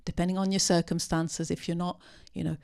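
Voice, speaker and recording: A woman with a low, gravelly voice talks through a post-film question. She pauses often and restarts her sentences as she thinks aloud. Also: little pitch movement, darkish tone. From part Syrian. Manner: hesitant, many ums and restarts. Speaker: panelist at a post-film discussion. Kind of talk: unscripted talk answering audience questions.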